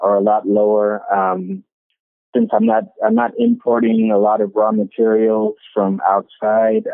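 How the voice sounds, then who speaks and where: A man answers an interviewer's questions about his business, speaking loosely and haltingly, often repeating words before going on. His voice sits high and swoops around a lot, with a heavy gravelly fry. The sound is dry and narrow, like a phone call. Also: no echo. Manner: hesitant, many restarts and fillers. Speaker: business owner being interviewed. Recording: tinny phone-like line, dry.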